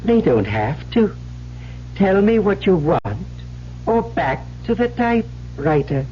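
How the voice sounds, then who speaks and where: A cold, malignant machine spirit from an old radio play. It speaks slowly and smugly, menacing and in command. The sound is dull with a faint hiss. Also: male voice, high-pitched, sing-song, exaggerated voice. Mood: coolly commanding. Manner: deliberate, smug, menacing. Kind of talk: vintage radio drama acting.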